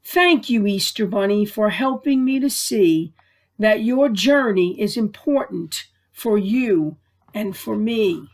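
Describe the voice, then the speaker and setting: A woman's voice: medium pitch, animated, slow. A woman voicing a sweet, earnest little girl lamb from a storybook, speaking with wonder. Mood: thankful.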